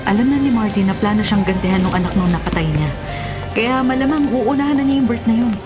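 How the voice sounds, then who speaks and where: A woman's voice: a little high, animated, fast talker, natural voice. Actress as a defiant, vengeful woman, music behind. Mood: angry, defiant. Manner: combative, emphatic. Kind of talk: radio drama acting.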